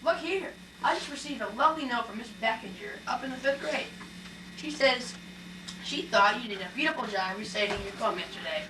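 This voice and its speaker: Woman doing a kindly teacher puppet, gentle, far from the mic, lots of echo. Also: a little high, expressive, fairly quick, close to an everyday voice. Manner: gentle, solicitous. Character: kindly, encouraging female schoolteacher puppet. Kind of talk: amateur puppet show acting. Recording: echoey, distant, noisy live recording.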